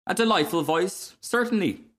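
A self-satisfied little frog voiced by a man reading a storybook. He is cheerful and talkative, pitched well up high with lively swoops, and has a genteel, complacent way of speaking. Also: normal speed. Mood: breezy, chatty.

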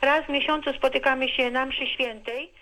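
A woman's voice: high voice, steady tone, brisk, a bit bright, rough recording. A woman giving a spontaneous, hesitant answer, correcting herself as she goes.

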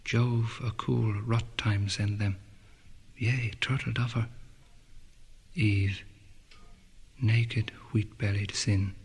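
An actor voicing a brooding young poet's murmured inner monologue, at times sinking to a whisper. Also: male voice, on the low side, steady tone, very slow, gravelly, long pauses, husky, exaggerated voice. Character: brooding young male poet, inward and allusive.

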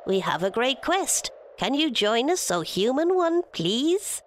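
A woman's voice: high voice, very animated, a little slow, dry recording, pitched above the performer's own voice. A woman voicing a band of mischievous fairy folk in a storybook tale, chanting and scheming. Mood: coaxing.

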